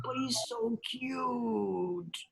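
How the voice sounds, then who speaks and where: A woman's voice: on the high side, very slow, creaky, exaggerated voice. A woman playing a fun-loving, wild brother in his twenties with a breezy, slangy manner, in a screenplay table read. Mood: playful. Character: fun-loving, wild, laid-back 24-year-old brother.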